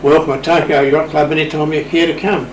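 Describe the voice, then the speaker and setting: A man talks informally as an interview guest, his voice a little on the high side. He is in a reverberant room with plain background noise, telling stories in an unscripted way. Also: little pitch movement, fast. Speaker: radio club interview guest. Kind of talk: anecdotal, informal talk. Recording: echoey room, distant mic, clear noise.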